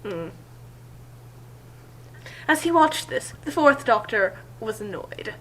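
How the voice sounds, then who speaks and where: A woman's voice: fairly high pitch, sing-song, slow, a bit bright, a little hiss or hum, rough recording. A woman reading a fan fiction story aloud as narrator, in an amateur group session with joking asides.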